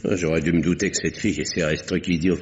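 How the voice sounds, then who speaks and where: An actor playing a gruff, authoritative country doctor in an old French radio drama. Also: male voice, low-pitched, little pitch movement, quick pace, a little hiss or hum, natural voice. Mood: stern, irritated. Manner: authoritative, scolding. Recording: dull vintage radio recording.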